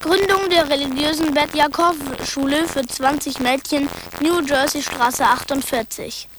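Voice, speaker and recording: A woman narrator reading a scripted documentary text in an even, list-like recitation. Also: sits high, unhurried. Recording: noisy background ambience.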